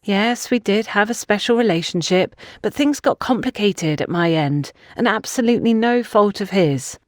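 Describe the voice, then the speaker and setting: A woman voicing a guarded, polite younger woman in an audiobook, measured and quietly resolute. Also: medium pitch, dry recording, clean studio sound, natural voice.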